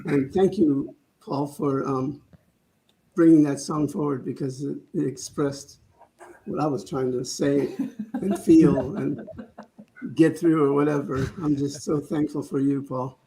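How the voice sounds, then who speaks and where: A man leading a spiritual service with informal, reflective talk and prayer, halting as he goes. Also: on the high side, fairly even intonation, mellow, a little hiss or hum, rough recording.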